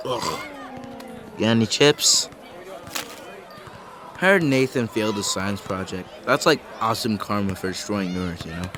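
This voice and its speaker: Laid-back teenage best buddy: lively swings in pitch, teasing slang, audio drama with crowd backdrop. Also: male voice, measured pace, long pauses, noisy background. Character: laid-back, joking, hungry teenage high-school buddy. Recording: busy crowd behind voice.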